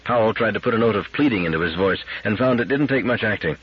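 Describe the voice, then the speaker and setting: Man, audiobook narrator, sci-fi story, lowish husky voice, muffled recording. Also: lively intonation, fast, background noise. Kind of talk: scripted narration of a science fiction story.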